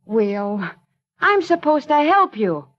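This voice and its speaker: An actress playing a kindly, earnest newspaper assistant with a coaxing manner, in a 1940s radio play. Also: female voice, high-pitched, very animated, slow pace, exaggerated voice. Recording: dull old radio broadcast.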